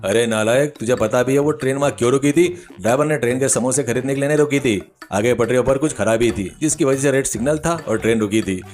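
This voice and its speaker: A man's voice: medium pitch, fast talker, few pauses, a little mellow, faint music behind, close to an everyday voice. A man playing a stern police sub-inspector in a comic story, scolding with authority and sarcastic menace.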